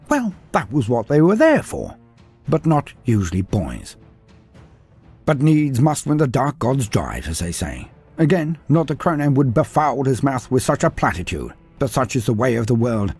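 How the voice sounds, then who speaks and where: A man with a husky voice narrates a fantasy story in a dry, wry way. His pitch swings widely from line to line, and faint music plays underneath. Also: a little mellow.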